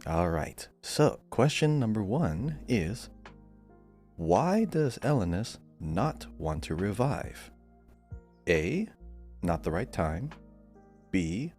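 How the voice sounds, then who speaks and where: A man's voice: on the low side, darkish tone. A man hosting a podcast quiz, reading out questions and chatting casually.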